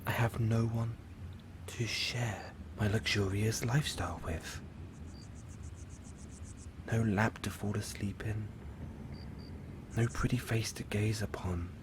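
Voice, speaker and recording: Man acting as suave obsessive villain, lowish voice, unhurried, lonely, theatrical, breathy near-whispers, audio roleplay, noisy background. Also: steady tone. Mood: lonely, yearning. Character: lovesick, lonely supervillain, suave musical phantom, obsessive and theatrical.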